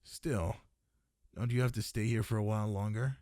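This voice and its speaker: Low-voiced flirty dragon librarian, acted by a man. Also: steady tone, moderate pace, dark tone, no room sound, studio quality, lightly acted. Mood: flirty, persistent.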